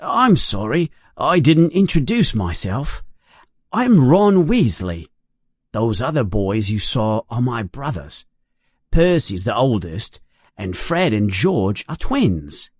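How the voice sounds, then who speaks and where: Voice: mid-range voice, very animated, slow, a little mellow, slightly rough, no echo, clean studio sound, exaggerated voice. A narrator voicing an eager, curious, self-conscious eleven-year-old wizard boy in a storybook. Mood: sheepish.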